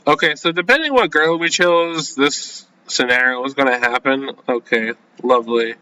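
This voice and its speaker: A man hosting a gaming video, reading a visual novel aloud and breaking off into flustered, halting, slangy reactions. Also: medium pitch, bright, forceful tone, smooth, poor audio. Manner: flustered, halting, self-censoring with casual slang.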